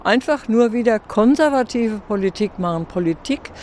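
A woman's voice: fairly high pitch, lively intonation, moderate pace, darkish tone, a little hiss or hum. A woman politician and local party spokeswoman, speaking assertively with rhetorical questions in an outdoor interview.

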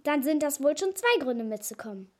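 A woman's voice: high voice, lively intonation, moderate pace. A woman playing a plucky, stubborn young girl in a children's radio play, eager and insistent in manner. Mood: pleading, insistent.

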